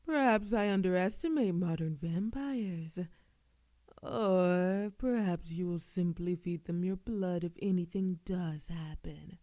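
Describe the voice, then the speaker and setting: A woman playing a composed, wryly teasing old-world vampire in an audio roleplay, speaking in a soft, measured, knowing way. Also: lowish voice. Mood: wry, curious. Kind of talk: scripted ASMR audio roleplay acting.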